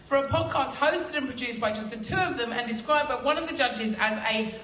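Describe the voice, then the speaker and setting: A woman's voice: a little high, brisk, fairly bright tone, soft background music. A woman presenting an award live on a theatre stage in a projected voice, recorded from a distance in an echoey space.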